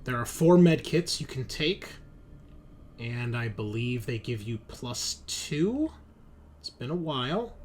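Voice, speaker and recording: A man narrating a tabletop roleplaying game as game master in a halting, informal, explanatory way. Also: medium pitch, sing-song, darkish tone. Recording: clear background noise, room ambience.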